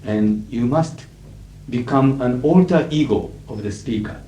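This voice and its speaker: A middle-aged man with a Japanese accent, a native Japanese speaker, lecturing as an interpreter in a measured, emphatic way with frequent fillers. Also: mid-range voice, dark tone, highs cut off. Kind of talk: lecture to an audience. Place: lecture hall. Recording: echoey 1980s hall recording with faint hiss.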